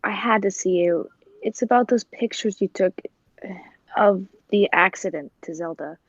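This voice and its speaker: An actress playing a sly, scheming circus tiger tamer in a 1940s-style radio play, speaking in a pointed, probing way. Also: female voice, medium pitch, moderate pace, no room sound, highs cut off, lightly acted. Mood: guarded.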